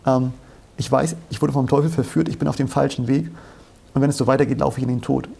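A man with a mellow, husky voice explains scripture in conversation. He is didactic and conversational, with a creaky edge, and often poses a question before answering it. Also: mid-range voice, fairly even intonation, fast. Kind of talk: conversational Bible exposition.

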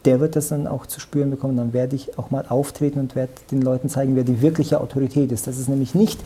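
A man's voice: mid-range voice, flat delivery, fast, warm tone, a little hiss or hum. A man discussing the Bible casually, in a colloquial and emphatic way, often adding small tags for confirmation. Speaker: religious discussion partner.

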